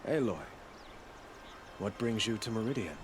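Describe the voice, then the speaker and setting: A man's voice: on the low side, expressive, unhurried, noisy background. An actor playing a courteous, dutiful king in a video game, speaking formally and earnestly. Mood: concerned. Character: courteous, regal young king, sincere and dutiful. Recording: outdoor game ambience behind.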